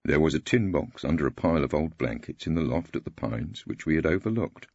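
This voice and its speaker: A man playing a lugubrious, formal older solicitor who speaks in a measured, eloquent way in an audiobook story. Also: extremely low pitch, expressive, a little mellow, breathy edge, dry recording, lo-fi sound, heavily acted. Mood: solemn.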